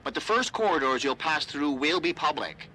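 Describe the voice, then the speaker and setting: A fussy, dry tech expert in a video game. The tone is sharp and bright, with a lively melody and precise phrasing. Faint noise sits behind it. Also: male voice, on the high side, normal speed, poor audio. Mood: dry briefing.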